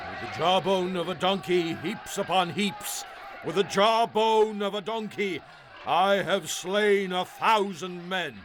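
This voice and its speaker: A man playing a strong, wrathful biblical hero in a radio-style dramatization, speaking in a forceful, declamatory way. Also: high-pitched, lively intonation, takes it slowly, slight background noise, exaggerated voice. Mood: triumphant.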